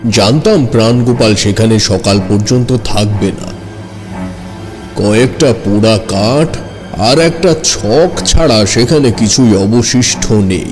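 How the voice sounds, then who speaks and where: A male storyteller reads a horror tale in the first person. His voice is low and unhurried, with a measured, suspenseful delivery over background music. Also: lively intonation. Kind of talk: scripted horror story narration.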